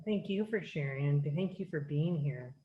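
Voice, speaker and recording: A woman's voice: low voice, animated, normal speed, narrow, tinny audio. A woman giving an earnest, explanatory talk at an online conference, speaking over a video call with frequent restarts. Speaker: workshop presenter.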